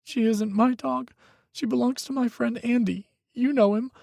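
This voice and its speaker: A man's voice: very high voice, steady tone, normal speed, creaky, a little mellow, no echo, clean studio sound, voice pushed up. A man voicing a timid, frightened young man who sounds tearful and shaky. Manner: trembling, tearful.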